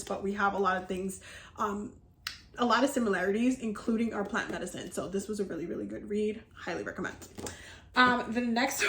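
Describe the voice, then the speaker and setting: A woman's voice: medium pitch, a little hiss or hum. A woman from the Dominican Republic talking casually to her viewers as a herbalism and energy healing teacher, chatty and upbeat with lots of fillers and little laughs. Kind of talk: casual video chat to viewers. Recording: echoey room.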